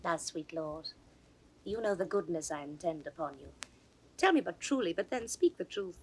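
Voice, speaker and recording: A woman's voice: on the low side, lively intonation, normal speed, slight background noise, rough recording. A woman playing a jealous, possessive royal lady, probing and insistent, in classical Shakespeare audio drama style. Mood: suspicious, coaxing.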